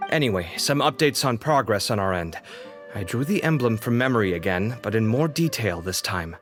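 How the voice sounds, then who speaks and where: A man voicing a talkative, expressive young male researcher in a video game, explaining things conversationally. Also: fairly low pitch, normal speed, natural voice. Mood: earnest explaining. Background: background music.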